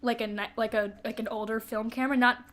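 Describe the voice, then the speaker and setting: A woman with a distinctly high voice co-hosts a casual book podcast. She is giggly and informal, retelling scenes in slangy language and playfully putting on the dialogue as she goes. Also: steady tone, quick pace, a bit bright. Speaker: podcast co-host. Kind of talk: casual book discussion chat.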